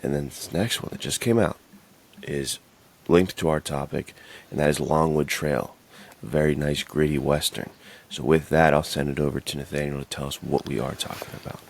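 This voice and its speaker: A man's voice: rumbling low, fairly even intonation, normal speed, gravelly. A man co-hosting a podcast in casual, informal chat with plenty of slang. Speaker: podcast co-host.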